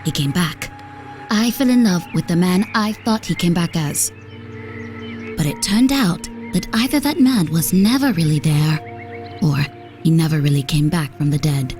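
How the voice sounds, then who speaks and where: A woman's voice: fairly low pitch, measured pace, a little mellow, faint music behind, close to an everyday voice. A woman playing a guarded, weary former lover in a comic dub, curt and defensive. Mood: weary, regretful.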